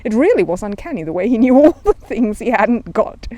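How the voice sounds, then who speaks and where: A woman narrating a children's story from a script, with expressive, deliberate phrasing. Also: on the high side. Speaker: storybook reader.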